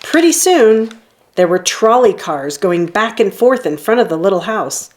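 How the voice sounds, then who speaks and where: A woman reading a children's story aloud in an expressive storytelling manner, leaning on key phrases. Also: medium pitch, measured pace. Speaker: storybook reader. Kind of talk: children's read-aloud narration.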